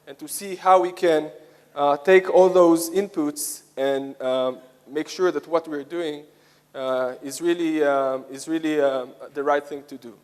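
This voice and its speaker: Halting Israeli man presenting in a reverberant hall. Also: on the high side, steady tone, darkish tone, smooth. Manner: halting, frequent hesitations and repeated phrases. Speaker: conference speaker, software product lead. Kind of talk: conference presentation to an audience. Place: conference hall, speaking to an audience. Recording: echoey hall with long reverb.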